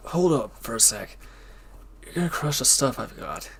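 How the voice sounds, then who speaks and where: A man playing a former villain turned hesitant, conflicted lover, speaking earnestly and haltingly in an intimate audio roleplay. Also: medium pitch, big pitch swings, creaky, a bit bright, a little hiss or hum. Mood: casual, tired.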